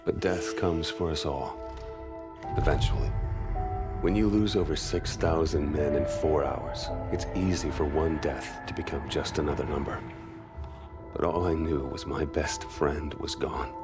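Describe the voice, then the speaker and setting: A brooding young soldier narrator. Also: male voice, rumbling low. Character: young male Marine turned private soldier, reflective narrator. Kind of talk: video game cutscene narration. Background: loud score.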